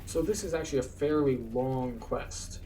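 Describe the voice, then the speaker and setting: A man's voice: medium pitch, normal speed, far from the mic. A man talks through a video game in an easygoing, explanatory way. He sounds a little away from the microphone, with faint noise in the recording. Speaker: video game tour guide and player. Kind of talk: casual gameplay commentary.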